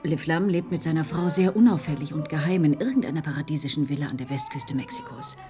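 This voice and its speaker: A woman's voice: low-pitched, expressive, fast talker, music in the background. An actress playing a well-informed, composed woman investigator in a radio play. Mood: briskly factual. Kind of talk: German radio play acting.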